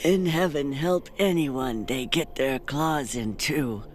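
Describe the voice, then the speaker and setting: A woman's voice: extremely low pitch, slow, soft background music. An actor playing a frightened, superstitious old woman in an audio drama, pleading and ominous. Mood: ominous warning. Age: older.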